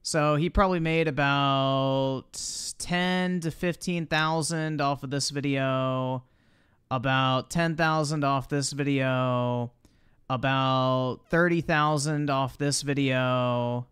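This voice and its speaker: A man's voice: medium pitch, steady tone, slow, fairly bright tone, smooth, no echo, clean studio sound. A man livestreamer giving casual reaction commentary in a blunt, profane, slangy way.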